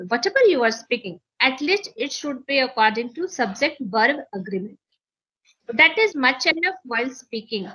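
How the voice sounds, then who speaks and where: A woman's voice: high voice, a bit bright, poor audio. A woman with an Indian accent whose first language is Maithili, an English teacher earnestly explaining fluency and grammar, often repeating and restarting phrases. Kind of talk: conversation practice advice.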